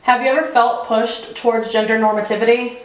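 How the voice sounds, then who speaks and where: A young woman narrating a documentary in an earnest, measured way and asking interview questions. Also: on the high side, little pitch movement, roomy sound, low-quality recording. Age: young adult.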